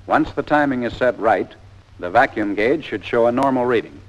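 A man's voice: medium pitch, expressive, moderate pace. An American man narrating a 1940s instructional film in a plain, step-by-step manner. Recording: dull, band-limited 1940s film soundtrack.

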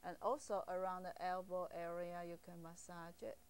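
Lower-pitched Taiwanese-accented woman, hesitant. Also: level tone, moderate pace, clear, resonant tone. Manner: hesitant, simply phrased non-native English. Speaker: acupuncturist being interviewed. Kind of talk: informal explanatory interview.

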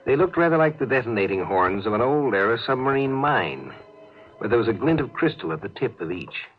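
An actor narrating a 1950s science-fiction radio drama as a grave, suspenseful storyteller. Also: male voice, medium pitch, big pitch swings, brisk, natural voice. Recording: dull, slightly noisy 1950s radio broadcast.

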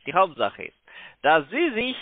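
Male telesales caller, non-native with foreign accent and broken, insistent delivery; fairly high, lively pitch, narrowband line. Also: no room sound. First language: not German. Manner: halting broken German, insistent. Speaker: call-centre telephone salesman. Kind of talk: unscripted sales phone call. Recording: muffled narrowband phone line.

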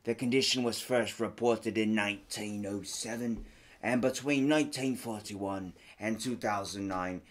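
A man's voice: fairly low pitch, measured pace, natural voice. A podcast host, a man, putting on a fake Scottish accent in joking chat. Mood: hammy. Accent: put-on Scottish. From United States. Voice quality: hammy, joking.

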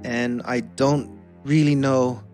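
A man's voice: medium pitch, steady tone, slow, darkish tone, soft background music. A young man with a Dutch accent, talking casually as a podcast guest, thoughtful, restarting sentences and laughing easily. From Netherlands. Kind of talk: casual conversational interview.

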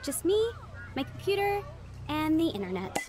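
A woman's voice: high-pitched, big pitch swings, moderate pace, darkish tone. An actress playing a naive, easily indignant young church girl in an audio drama. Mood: casual, guarded.

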